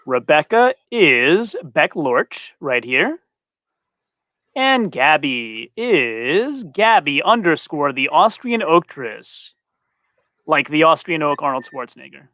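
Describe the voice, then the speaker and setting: A man's voice: fairly high pitch, big pitch swings, slow, pushed and bright, dry recording. A man hosting a live-stream interview, friendly and conversational. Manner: friendly, conversational, laughs easily. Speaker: interview host.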